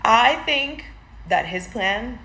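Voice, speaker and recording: A woman's voice: on the high side, lively intonation, slow pace, pushed and bright, a little hiss or hum. A woman who grew up in the United States, telling the story of a date and quoting what both of them said. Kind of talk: storytelling clip.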